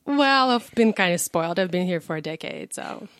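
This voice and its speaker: A woman's voice: on the low side, expressive, moderate pace, fairly bright tone, slight background noise. A woman in her thirties, born and raised in Russia, chatting as a podcast guest and explaining things with examples. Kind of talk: casual podcast interview.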